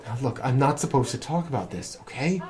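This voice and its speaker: Young male gambler in debt, played by a man, noir audio drama, nervous and stammering, animated intonation. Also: medium pitch, a little fast, close to an everyday voice. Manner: stammering, hesitant.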